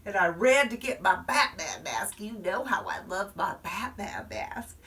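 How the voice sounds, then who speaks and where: A woman's voice: mid-range voice, expressive, a little slow, pushed and bright, far from the mic. A woman storyteller voicing a chatty little first-grade girl who confides in her listeners. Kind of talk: performed storytelling.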